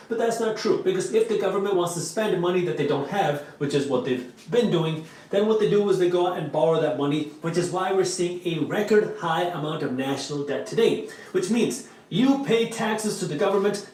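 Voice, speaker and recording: A man whose first language is Punjabi, working as an attorney, walks through a topic point by point. His voice sits slightly high. It is heard from afar in a very echoey replay. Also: darkish tone, far from the mic. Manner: clear, structured, lecturing. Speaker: attorney explainer. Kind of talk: scripted explainer video.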